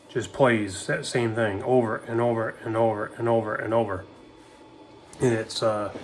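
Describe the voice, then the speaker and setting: A man's voice: medium pitch, slight background noise. Chatty ham radio man. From Maine. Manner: chatty, rambling, relaxed. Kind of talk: casual radio chat.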